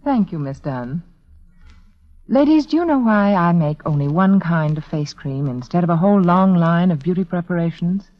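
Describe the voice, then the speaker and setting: A woman's voice: on the low side, expressive, moderate pace. A woman spokeswoman reading a persuasive, carefully enunciated 1940s radio commercial. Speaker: advertising spokeswoman, beauty authority. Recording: muffled vintage 1940s radio recording.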